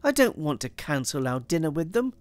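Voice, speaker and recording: Man putting on a high, dismayed housewife. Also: sing-song, fairly quick, dry recording. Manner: exasperated, protesting.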